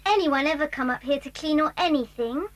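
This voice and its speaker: A woman's voice: high voice, a little fast, slight background noise, close to an everyday voice. A woman playing a timid, polite little girl in a children's audio drama, earnest and wondering.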